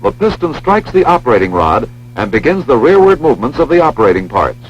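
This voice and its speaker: An American man reading scripted instructional narration for a training film in a measured, precise, formal manner. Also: mid-range voice, big pitch swings. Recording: dull lo-fi film soundtrack, humming noise.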